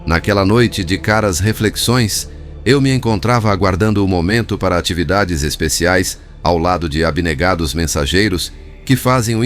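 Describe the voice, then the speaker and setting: A Brazilian man reading a spiritist book as scripted narration, in a formal, measured manner. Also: sits low. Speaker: audiobook narrator. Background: background music.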